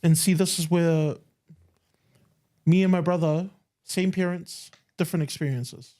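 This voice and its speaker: Earnest Tongan man, warm low tone. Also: fairly even intonation, a little slow. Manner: earnest, emphatic storytelling. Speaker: podcast co-host. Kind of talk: casual chat.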